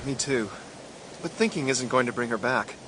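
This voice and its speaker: A man's voice: mid-range voice, lively intonation, normal speed, noisy background, close to an everyday voice. An actor playing a curt young male passenger in a radio drama, blunt and impatient.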